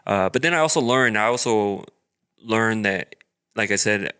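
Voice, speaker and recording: A man with a low voice and a bright, forceful edge hosts a casual podcast chat. He rambles, restarts his sentences and leans on fillers as he keeps the conversation friendly. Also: expressive, normal speed, clean tone. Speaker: podcast host.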